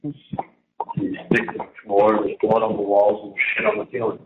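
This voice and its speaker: A man's voice: lowish voice, flat delivery, moderate pace. A man venting in a casual phone conversation, exasperated and repetitive as he recounts things at length from prison. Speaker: prison inmate on a phone call. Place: prison, on a recorded phone line. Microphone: telephone handset. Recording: dull echoey phone call, faint noise.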